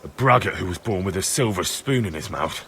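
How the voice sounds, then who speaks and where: A man's voice: sits low, animated, a little fast, gravelly, a bit bright, a little hiss or hum, exaggerated voice. A man playing a defiant young village blacksmith's lad in a video game. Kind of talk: video game cutscene acting.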